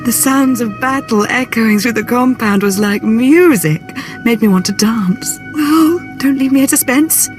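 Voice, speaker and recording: An actress playing a greedy, flirtatious schemer in a video game, coy and eager in manner. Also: female voice, fairly high pitch, unhurried, close to an everyday voice. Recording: game music behind the voice. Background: music.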